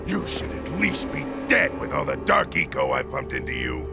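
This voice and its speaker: An actor playing a ruthless older tyrant in a video game cutscene, with a gravelly, commanding voice. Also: male voice, extremely low pitch, wildly swooping pitch, moderate pace, loud music behind the voice, heavily acted. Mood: cold, furious. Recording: cutscene with loud score.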